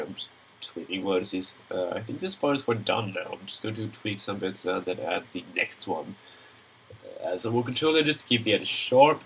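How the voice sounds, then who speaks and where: A man's voice: on the low side, a bit bright, slight background noise, poor audio. A young man with a Swedish accent, nasal from a cold, narrating a screen-recorded modeling tutorial in a rambling, chatty way with many fillers. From Sweden.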